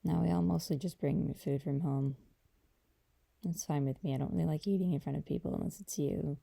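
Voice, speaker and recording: A woman playing a gentle, affectionate girlfriend in an intimate ASMR roleplay, talking softly and hesitantly to a partner. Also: heavily acted. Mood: relaxed, affectionate. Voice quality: dark, creaky low voice. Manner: soft, hesitant, unhurried conversational delivery.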